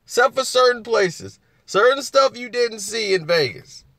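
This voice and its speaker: A man with a husky voice hosting a casual live chat, laughing often and answering viewers. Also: very high voice, lively intonation, unhurried, sharp tone, no room sound.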